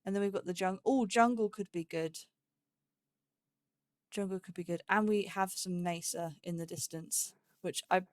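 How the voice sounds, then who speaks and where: Low-pitched woman chatting over a game. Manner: rambling, self-chiding, playful. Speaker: let's play host. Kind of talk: casual gameplay commentary, thinking aloud.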